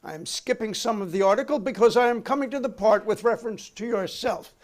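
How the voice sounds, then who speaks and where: A man's voice: high voice, brisk, natural voice. A man playing a formal, insistent committee counsel questioning a witness in a dramatic reading of a 1950s hearing. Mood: prosecutorial, formal.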